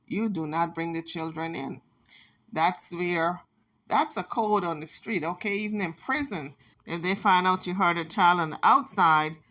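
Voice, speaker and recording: Bright-voiced scolding woman commentator. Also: lowish voice. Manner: emphatic, scolding, reads messages aloud. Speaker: commentary channel host. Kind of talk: casual gossip commentary.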